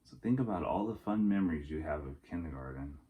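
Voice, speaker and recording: A man's voice: rumbling low, lively intonation, fast, narrow, tinny audio. A man reading a storybook aloud as a teacher, expressively, speaking directly to young children.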